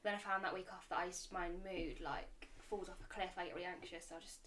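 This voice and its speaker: A woman's voice: on the low side, steady tone, quick pace, a bit bright, slight background noise. A nineteen-year-old woman chatting casually as a podcast guest. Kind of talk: casual chat.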